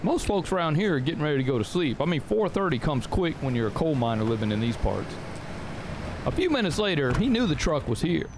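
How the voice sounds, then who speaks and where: A man who talks in a loose, rambling way, full of fillers, restarts and a running "man". His voice sits a bit higher than most men's. He hosts an audio tutorial channel and switches to plain story reading. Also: very animated, brisk, background noise. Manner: rambling and chatty, many fillers and restarts, keeps saying "man". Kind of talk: casual talk to viewers, then reading a short story.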